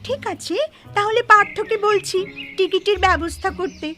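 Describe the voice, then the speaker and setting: Woman playing a very high-voiced bustling cartoon mum. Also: normal speed. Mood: chatty, practical. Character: bustling, chatty mother of a schoolgirl. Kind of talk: cartoon voice acting. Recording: loud music bed under voice.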